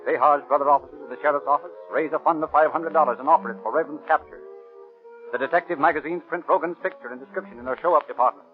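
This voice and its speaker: An American actor playing an urgent narrator of a 1930s police radio drama, with a clipped bulletin delivery. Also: male voice, fairly high pitch, very fast, close to an everyday voice. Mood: urgent, dramatic. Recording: tinny 1930s radio recording with music.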